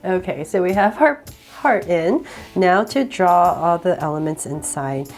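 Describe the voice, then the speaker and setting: A woman with a cold guiding viewers step by step through a drawing, relaxed and laughing a little at her own slips. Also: on the low side, unhurried, faint music behind. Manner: relaxed, with small laughs and self-corrections. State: sick, voice a bit off. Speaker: drawing tutorial host.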